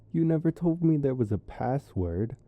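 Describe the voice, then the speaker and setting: A man playing a young vampire boyfriend locked out, coaxing in a halting, wry way, muffled as if behind a door. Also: mid-range voice, mellow. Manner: halting, coaxing, wryly playful. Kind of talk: ASMR boyfriend roleplay. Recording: muffled narrow-band, as through a door.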